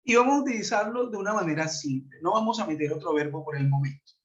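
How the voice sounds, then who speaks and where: Colombian guy teaching english online, kinda high voice, lots of eh and cierto, dull lo-fi call audio. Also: animated, fast. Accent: Colombian Spanish. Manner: explanatory, many fillers and tag questions. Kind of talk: web conference lesson. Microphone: computer mic on a video call. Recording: muffled lo-fi web call audio.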